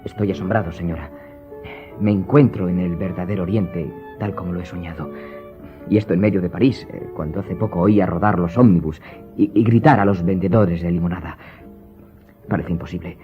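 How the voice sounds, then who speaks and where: An actor playing a courteous, curious young French viscount in a radio drama, speaking politely and formally. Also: male voice, low voice, fairly quick, lightly acted. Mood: amazed. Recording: slightly muffled, faint music behind.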